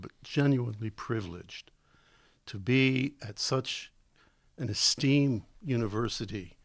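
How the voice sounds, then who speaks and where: An older Israeli-born man, a guest professor, giving deliberate, measured answers in a studio interview. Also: on the low side, gravelly, no room sound. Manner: deliberate, slow on key words, with ums. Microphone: studio microphone.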